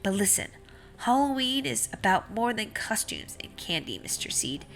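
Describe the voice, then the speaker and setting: A woman's voice: medium pitch, sing-song, moderate pace, vocal fry, a bit bright, faint music behind. A woman voicing a kindly, polite pumpkin seed who gives earnest, reassuring advice in a children's storybook. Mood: earnest, encouraging. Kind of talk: children's picture book read-aloud.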